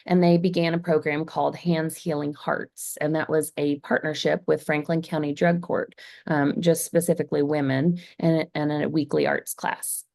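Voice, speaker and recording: A hoarse woman, an executive director, presenting briskly on a webinar over a video call. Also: sits low, level tone, darkish tone. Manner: brisk, organized, hurried.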